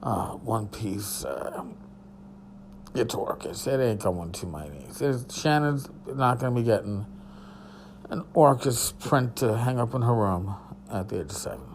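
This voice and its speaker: Tired, hoarse man hosting a podcast. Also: medium pitch, expressive, measured pace, a little hiss or hum. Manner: rambling, profane, restarts. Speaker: podcast host. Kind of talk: casual solo podcast. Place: hotel room.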